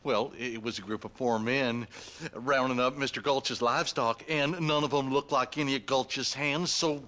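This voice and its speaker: An actor playing an eager, earnest Western deputy in a game cutscene, with a folksy, halting American Southern delivery. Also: male voice, medium pitch, steady tone, a bit bright, natural voice. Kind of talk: video game cutscene acting. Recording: clear background noise.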